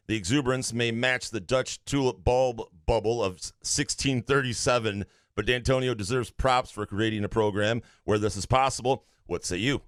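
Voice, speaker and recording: A man's voice: medium pitch, normal speed, few pauses, a bit bright, dry recording, studio quality. A man co-hosting a sports podcast, reading listener questions and chiming in with blunt jokes. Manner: blunt, wisecracking. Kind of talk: casual sports talk.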